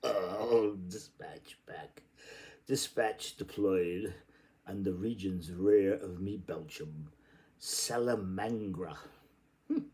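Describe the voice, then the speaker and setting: A man's voice: low voice, takes it slowly, darkish tone. A man reading literary prose aloud in a theatrical, playful and exclamatory way. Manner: playful, exclamatory delivery with sudden outbursts. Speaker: reader.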